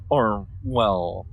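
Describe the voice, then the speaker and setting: A man playing a gentle, awkward lord in an audio roleplay, speaking politely and formally with frequent hesitations. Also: on the low side, creaky, exaggerated voice, poor audio. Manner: hesitant, polite, formal wording with stammered ums. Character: gentle, awkward young lord, courteous automaton owner. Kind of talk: scripted audio roleplay, intimate one-sided dialogue.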